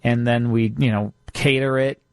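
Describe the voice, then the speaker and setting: Middle-aged male radio host, mid-pitched voice, dry studio sound; talkative, enthusiastic, casual storytelling. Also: fairly even intonation, normal speed, darkish tone, clear, resonant tone, no echo. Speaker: radio show host and producer. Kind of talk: casual radio chat, reminiscing.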